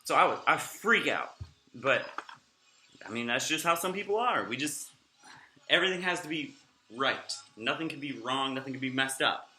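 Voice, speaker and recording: A man's voice: medium pitch, expressive, normal speed, sharp tone, reverberant, slight background noise. A young man vlogging in a casual, rambling way, sounding nasal and slurring his words a little. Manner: rambling, off-topic, chatty.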